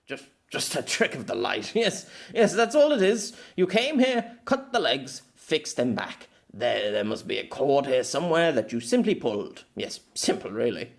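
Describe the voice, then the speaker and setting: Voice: medium pitch, big pitch swings, moderate pace, vocal fry, hardly any pauses. A performer voicing an exasperated, sceptical male storyteller with a fussy, formal way of talking in an audiobook. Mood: flustered denial. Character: exasperated, sceptical storyteller man, feeling old.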